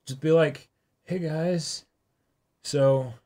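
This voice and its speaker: A man's voice: fairly high pitch, fairly even intonation, extremely slow, mellow. A man co-hosting a casual reaction discussion, speaking tentatively and often trailing off mid-thought. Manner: tentative, trailing off, brief agreeing replies. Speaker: co-host of an anime reaction show.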